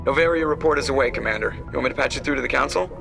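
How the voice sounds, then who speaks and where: An actor playing a brisk, businesslike male starship pilot in a video game. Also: medium pitch, vocal fry, music in the background. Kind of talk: video game cutscene acting.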